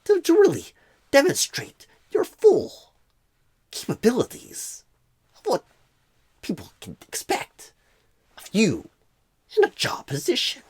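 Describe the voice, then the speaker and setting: Clueless recruiter, played by a man, ASMR roleplay: slow, husky, rambling, odd pauses and stresses. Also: extremely high pitch, wildly swooping pitch, darkish tone, dry recording, studio quality, heavily acted. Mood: probing, rambling. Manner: halting phrasing with odd pauses and swooping stresses.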